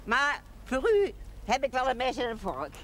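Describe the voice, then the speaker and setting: A woman's voice: sits high, big pitch swings, moderate pace, a bit bright, a little hiss or hum. An actress playing a blunt, hospitable country woman in a television drama, plain-spoken and informal. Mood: hearty, inviting. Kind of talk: 1970s Dutch television drama acting.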